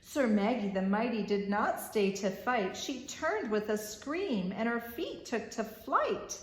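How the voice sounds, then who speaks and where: A woman reading a rhyming children's storybook aloud as storyteller, expressive and measured in a rhythmic way. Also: fairly high pitch, reverberant.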